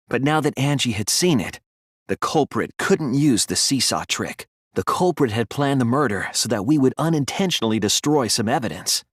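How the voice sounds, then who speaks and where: A man voicing an earnest young male student detective in a video game, explaining his deductions in a deliberate, thoughtful way. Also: mid-range voice, husky, no room sound, clean studio sound. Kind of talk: scripted video game voice acting, deduction speeches.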